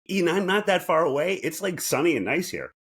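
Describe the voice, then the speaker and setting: A man co-hosting a podcast in casual banter, wry and teasing, often halting and restarting his sentences. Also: fairly high pitch, sing-song, fairly bright tone, husky. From born in New Jersey, US. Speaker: podcast co-host.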